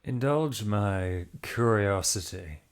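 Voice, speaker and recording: Stern priest and monster hunter played by a man: low, very deliberate pace, defiant, tabletop horror game. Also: animated, smooth.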